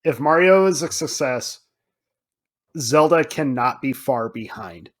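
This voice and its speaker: A man co-hosting a podcast, discussing films casually in an animated, emphatic way. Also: mid-range voice, measured pace. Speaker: podcast co-host.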